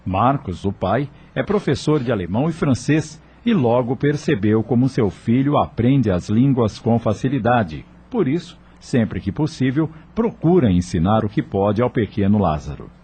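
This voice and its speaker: A man with a husky voice reading scripted narration for a radio drama. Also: mid-range voice, sing-song, normal speed, hardly any pauses, dark tone.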